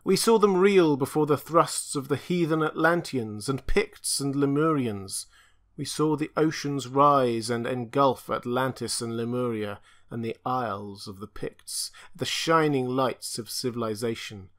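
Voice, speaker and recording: A man's voice: mid-range voice, a little slow, fairly bright tone, close to an everyday voice. A man voicing an ancient, blind, tortured alien being that speaks in a raised, mournful, chanting way. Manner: lamenting, chanting, raised and pleading.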